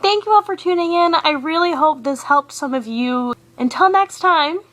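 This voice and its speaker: A woman's voice: sits high, normal speed, a bit bright. A young woman giving upbeat, scripted advice to camera as a vlogger, with emphatic, enunciated delivery. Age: young adult.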